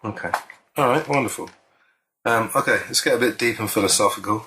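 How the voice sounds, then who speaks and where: A man interviewing a songwriter, chatty and encouraging, agreeing quickly and asking loose questions. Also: lowish voice, noisy background.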